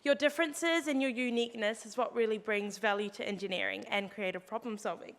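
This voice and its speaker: Young NZ woman hosting a student evening, high and bright, upbeat, long hall reverb. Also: a little fast. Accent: New Zealand. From New Zealand. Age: young adult. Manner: upbeat and encouraging. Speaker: student presenter. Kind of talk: presenting to an audience.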